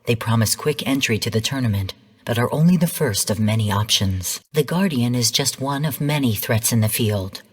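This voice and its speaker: An actress playing a female artificial intelligence mentor in a video game, delivering mission briefings in a calm, stern, measured and formal way. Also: very deep voice, slightly rough, in a large hall, full character voice. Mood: measured, instructive. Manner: measured, formal, cool, precise. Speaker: game guide and mission narrator.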